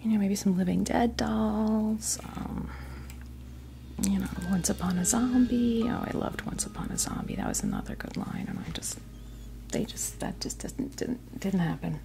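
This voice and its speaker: A woman hosting an ASMR doll unboxing, chatting casually and softly to camera, gushing over small details in an unhurried way. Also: medium pitch, vocal fry.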